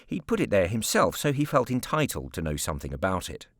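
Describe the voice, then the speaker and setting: A British man narrating a story as an audiobook reader, expressive, measured and wryly articulate. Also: deep voice, dry recording, studio quality.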